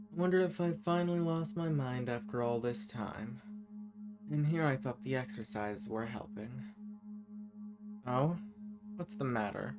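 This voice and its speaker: Over clear music, a male actor voices an ancient magical villain, a banished man speaking at a middling pitch. He is world-weary and dangerous, yet teasing and flirty, musing formally as if to one listener in an intimate audio roleplay. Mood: bemused, musing.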